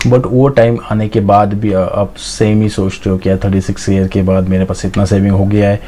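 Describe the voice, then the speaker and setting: A man's voice: fairly low pitch, flat delivery, moderate pace, dark tone. A man giving an informal life-lesson monologue, hesitant and reflective, mixing in English words as he goes. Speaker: motivational storyteller.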